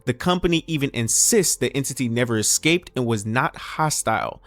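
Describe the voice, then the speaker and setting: A man's voice: medium pitch, fairly bright tone, no room sound. A man reading scripted narration that recaps and analyzes a horror story, delivered dramatically in short, emphatic sentences.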